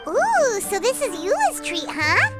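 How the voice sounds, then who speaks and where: Tiny fairy girl, super high squeaky voice, bouncy game sidekick. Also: huge pitch swings, unhurried, loud music behind the voice, heavily acted. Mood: chirpy. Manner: chirpy, speaks of herself by name. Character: tiny floating fairy girl companion, chatty and nosy. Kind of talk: game voice acting.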